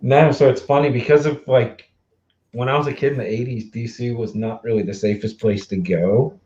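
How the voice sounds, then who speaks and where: A man in his forties from the Mid-Atlantic US, hosting a casual livestream chat, easygoing and quick to laugh, with lots of fillers. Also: medium pitch, steady tone, warm tone, dull audio. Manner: chatty, laughs easily, trails off with fillers. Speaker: livestream host.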